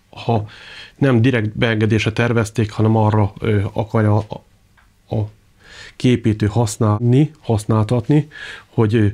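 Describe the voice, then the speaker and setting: Slightly low-voiced man presenting products. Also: darkish tone.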